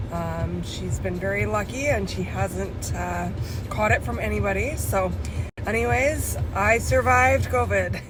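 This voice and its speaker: A woman's voice: low voice, breathy edge. A young woman vlogging casually about recovering from an illness, with lots of fillers and likes. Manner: chatty, many fillers and likes. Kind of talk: casual video diary.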